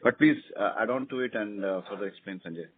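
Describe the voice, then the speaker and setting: A man's voice: on the low side, fast. A man with an Indian accent, speaking unscripted as a company executive answering questions on an earnings conference call. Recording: tinny phone conference line, clear noise.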